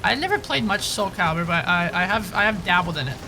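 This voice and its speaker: A man giving casual live gameplay commentary and chatting informally with viewers, halting and repeating himself at times. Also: high-pitched, lively intonation, music in the background, low-quality recording. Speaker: game streamer.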